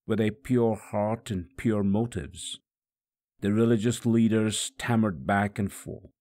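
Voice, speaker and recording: A man's voice: on the low side, darkish tone, no room sound, studio quality. A man narrating a dramatic first-person religious story in a solemn, emphatic manner. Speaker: audiobook narrator.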